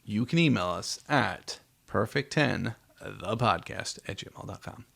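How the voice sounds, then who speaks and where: A man's voice: lowish voice, lively intonation, unhurried, creaky, a bit bright. A man hosting a podcast in a casual, conversational style. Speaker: podcast host.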